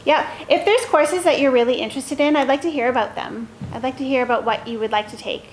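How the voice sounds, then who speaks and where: A woman with a fairly high voice addresses a school assembly, explaining course options as a staff member. There is faint noise behind her. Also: fast, low-quality recording.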